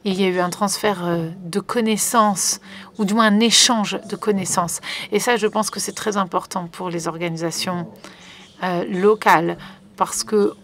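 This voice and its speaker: A woman's voice: medium pitch, fairly even intonation, normal speed. A woman working as a conference interpreter, relaying a panel live with frequent fillers and restarts. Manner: hesitant, many fillers and restarts.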